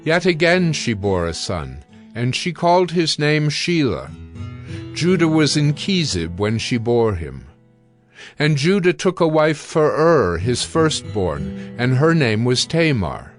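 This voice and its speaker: A man narrates scripture in a scripted audio drama style. His voice sits at a medium male pitch, with soft music underneath. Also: very animated, slow.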